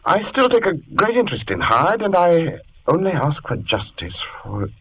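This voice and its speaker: A man's voice: on the high side, sing-song, normal speed, slightly rough, exaggerated voice, rough recording. An actor playing a tormented Dr. Jekyll, an anguished man who pleads and argues with his darker self in 1940s radio style. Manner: anguished, pleading, shifting to a dark whisper.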